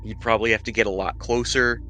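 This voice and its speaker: A man narrating a tabletop roleplaying game as game master, improvising descriptions in a conversational, halting way. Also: lowish voice, fairly even intonation, sharp tone. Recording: music playing behind the voice.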